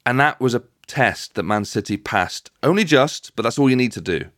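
A man's voice: mid-range voice, animated, normal speed, fairly bright tone, no room sound, clean studio sound. A man hosting a casual football discussion, making emphatic points and repeating phrases to build them up. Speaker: football podcast host.

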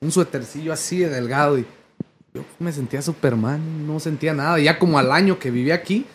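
A man's voice: a little high, fairly quick, a little hiss or hum. A man from Mexico with a Mexican accent, telling casual anecdotes in slangy, profane, joking banter as a band member being interviewed. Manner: slangy, profane, jokey storytelling.

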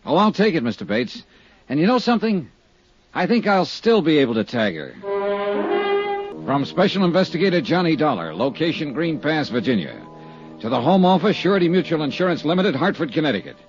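An actor playing a tough, sardonic American insurance investigator with brisk, clipped delivery in a 1950s radio drama. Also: male voice, a little high, big pitch swings, soft background music. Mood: brisk, dry narration. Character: wry, tough, persistent male insurance investigator. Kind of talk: 1950s radio drama acting and scripted narration. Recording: dull vintage 1950s radio recording.

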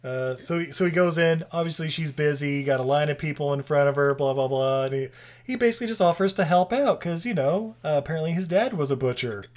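A man's voice: a little high, expressive, fast. A man in his thirties casually recapping a movie with friends, laughing often and rambling. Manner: laughing, rambling recap with silly quoted voices. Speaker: podcast host recapping a movie. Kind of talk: casual chat.

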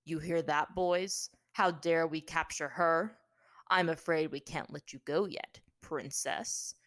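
A woman voicing a smug, menacing male warrior captor in an audiobook, with a calm, offhand, condescending way of taunting. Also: low voice, slow, no echo, studio quality. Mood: smug, mocking.

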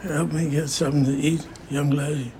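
A man's voice: a little high, little pitch movement, moderate pace, mellow, close to an everyday voice. An actor playing a gaunt homeless man begging politely for change in an audio drama. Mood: pleading. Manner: polite, pleading.

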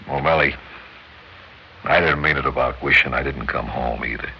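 An American actor playing a hard-luck, hot-headed but loving working husband in a radio drama, blurting and exasperated. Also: male voice, a bass voice, moderate pace, gravelly. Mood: softened. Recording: muffled, noisy old radio drama recording.

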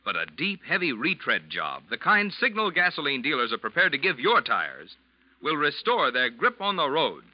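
Animated higher-pitched American radio announcer man. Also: no echo. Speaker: announcer reading sponsor message. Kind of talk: 1940s radio commercial announcing. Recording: dull, dry vintage radio recording.

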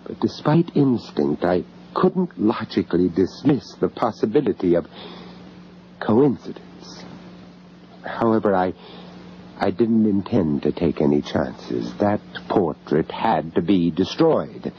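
Haunted british art dealer in an old horror radio play, grave n halting, muffled recording w some hiss. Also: male voice, low-pitched, lively intonation, full character voice. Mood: alarmed resolve. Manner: grave, hesitant, dramatic delivery with halting repeats. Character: haunted, anxious gentleman art dealer narrating a horror tale. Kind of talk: 1970s radio horror drama narration.

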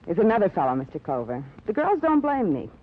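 An actress playing a chatty, genteel, self-absorbed newly widowed housewife, fluttery and rambling, in a 1950s radio drama style. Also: female voice, medium pitch, wildly swooping pitch, fast talker. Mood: wistful. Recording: tinny, noisy old radio recording.

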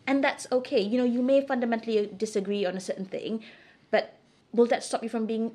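A woman's voice: a little high, fairly even intonation, brisk, warm tone. Candid middle-aged woman in interview. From Singapore. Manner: candid, emphatic, opinionated. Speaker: interview guest. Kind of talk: conversational interview answers.

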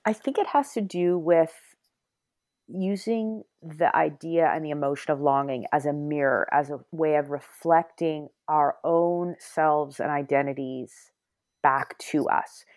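Middle-aged woman author, reflective, halting interview answers, dry close mic. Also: sits low, steady tone, a little mellow, dry recording. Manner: reflective, many restarts and hedged pauses.

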